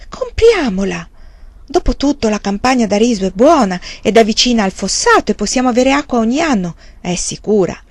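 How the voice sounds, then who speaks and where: A quiet, patient young peasant wife, voiced by a woman, speaking in a calm, measured way. Her pitch sits in a middle range. The sound is dry and close to the mic. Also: no echo, lightly acted. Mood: resolved.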